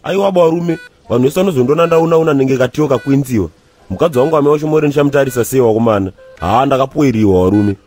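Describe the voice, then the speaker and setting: A Zimbabwean man playing a bewildered, aggrieved husband and patient who rambles comically in a cartoon, with a husky voice. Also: mid-range voice, racing, a little mellow, soft background music, lightly acted. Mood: dismayed. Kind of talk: animated comedy dialogue.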